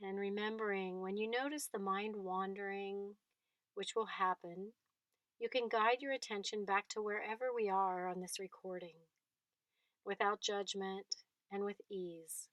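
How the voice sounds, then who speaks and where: Female meditation guide, very slow delivery, gentle phrasing with generous pauses, dry studio-like sound. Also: mid-range voice, fairly even intonation, dry recording. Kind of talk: guided body scan meditation.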